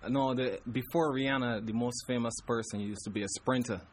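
A man's voice: medium pitch, fairly even intonation, brisk. Laid-back Barbadian man, comedian guest. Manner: laid-back, laughing often. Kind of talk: casual chat.

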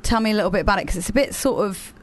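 A woman's voice: medium pitch, racing. A woman hosting a casual interview, chatty and bubbly, asking questions. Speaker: radio host.

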